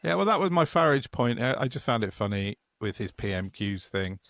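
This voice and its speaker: A man's voice: mid-range voice, very animated, a little fast, creaky, fairly bright tone, no room sound. A man co-hosting a political podcast, chatting casually with frequent restarts and wry remarks. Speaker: podcast co-host.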